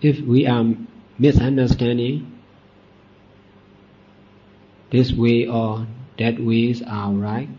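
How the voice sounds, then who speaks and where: A male Buddhist teacher gives guided meditation instruction in short, deliberate phrases with long pauses. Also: medium pitch, little pitch movement, mellow, slightly rough. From Myanmar. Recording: dull lo-fi recording.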